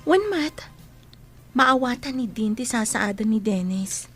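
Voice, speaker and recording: A woman's voice: a little high, lively intonation, unhurried, soft background music. A woman playing a supportive young female schoolmate in a radio drama, earnest and understanding. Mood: defending, sympathetic.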